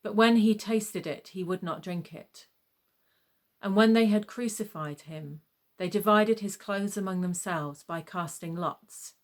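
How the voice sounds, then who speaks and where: A woman reading a scripted gospel narration in a measured, solemn manner. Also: mid-range voice.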